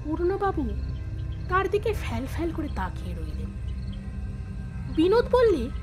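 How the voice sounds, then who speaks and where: A woman's voice: very high voice, huge pitch swings, measured pace. A woman narrating a horror story in a dramatic, suspenseful, theatrical way. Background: prominent background music.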